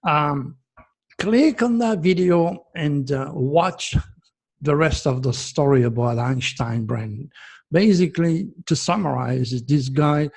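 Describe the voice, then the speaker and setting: A man's voice: fairly high pitch, a little mellow. A man with a French accent, a native French speaker, hosting his own astrology video in a rambling solo talk, often stopping to say okay. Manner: chatty, repetitive, checks in with okay. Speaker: astrologer host.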